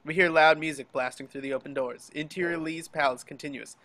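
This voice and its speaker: A man's voice: a little high, fairly even intonation, fairly quick, bright, forceful tone. A man narrating scripted action and scene headings for an amateur table read. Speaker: stage-direction reader. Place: home, group video call.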